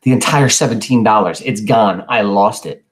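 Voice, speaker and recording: A man's voice: lowish voice, moderate pace, close to an everyday voice. Man acting troubled, hot-tempered older brother. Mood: ashamed. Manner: blunt, emotional, streetwise.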